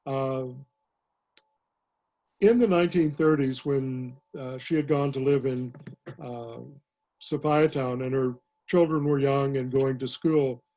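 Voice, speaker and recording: An older man, a historian and author, answering questions in a panel talk, measured and full of pauses. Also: medium pitch, fairly even intonation, mellow, highs cut off. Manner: measured, many "uh" pauses and restarts. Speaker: author and historian, guest speaker.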